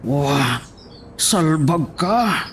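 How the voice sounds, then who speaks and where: A man acts out a bullying, angry mayor in an audio drama. His voice is on the high side and swoops widely, with slow, threatening delivery. There is slight noise in the amateur recording. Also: animated, a bit bright, exaggerated voice. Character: angry, domineering town mayor, a man, demanding his child. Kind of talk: Ilocano audio drama acting.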